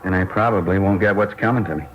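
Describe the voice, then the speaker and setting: Low-voiced, fretful hangdog working man played by an actor. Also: fast talker, close to an everyday voice. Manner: fretful, hangdog, muttering about his troubles. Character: worried, fretful male store worker, swindled. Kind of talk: 1950s radio drama acting. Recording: muffled, faintly noisy vintage radio recording.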